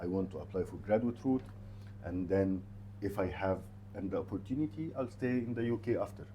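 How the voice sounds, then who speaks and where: Low, mellow man with halting delivery. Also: lo-fi sound, slight background noise. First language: Arabic. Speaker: university regional representative, interview guest. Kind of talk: conversational advice to prospective students.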